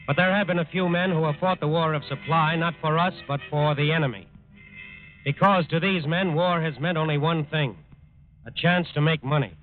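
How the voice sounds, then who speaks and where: A man's voice: a little high, moderate pace. A man delivering solemn, emphatic scripted narration in 1940s radio style. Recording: tinny 1940s radio recording with music.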